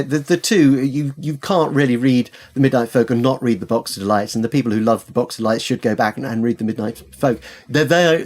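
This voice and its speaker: A man's voice: mid-range voice, a little mellow. A man speaking as an expert interview guest, conversational and hesitant, often repeating words for emphasis. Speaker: interview guest, literary scholar and editor.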